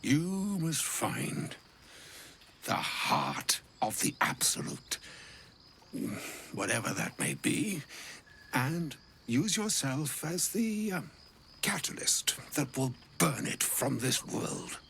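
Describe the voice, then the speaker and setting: An ancient wizard from a fantasy game, fussy, grand and fond of florid words. His voice is high for a man and creaks with age, swinging widely as he talks. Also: sing-song, a bit bright, a little hiss or hum, exaggerated voice. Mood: grave, solemn. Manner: pompous, florid, wordy, halting when uneasy. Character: ancient, gluttonous, grandiloquent old wizard, grave yet fussy. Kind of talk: theatrical video game voice acting.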